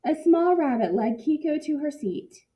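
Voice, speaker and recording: A woman narrating a picture book for children in an expressive read-aloud style, like a teacher in class. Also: sits high, moderate pace.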